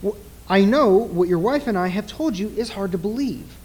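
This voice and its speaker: A man playing an earnest, grave nightclub mentalist in an old-time radio play, speaking formally and deliberately in a husky voice. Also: high-pitched, expressive, darkish tone. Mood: grave, insistent.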